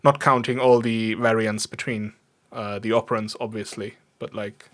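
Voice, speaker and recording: A man with a fairly low voice and a German accent streams a coding session. He talks in a casual, easygoing way, poking fun at himself as he explains what he is doing. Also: level tone, normal speed. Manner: easygoing, self-deprecating, chuckles often. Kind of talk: casual livestream chat while programming. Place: indoors at a computer desk.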